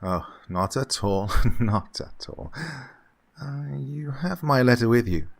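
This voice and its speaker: An actor playing an unctuous, scheming gentleman employer who feigns kindly absent-mindedness, fussy and repetitive, in an old-time radio horror drama style. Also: mid-range voice, animated, slow, vocal fry, full character voice. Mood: oily, genial. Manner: fussy, hesitant, repeats himself, chuckling.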